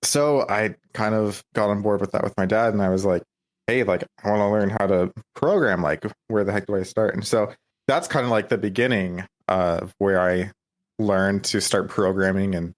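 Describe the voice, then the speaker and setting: Chuckling young man, low voice. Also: moderate pace. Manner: self-deprecating, many fillers, laughs easily. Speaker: podcast guest. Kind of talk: casual interview chat.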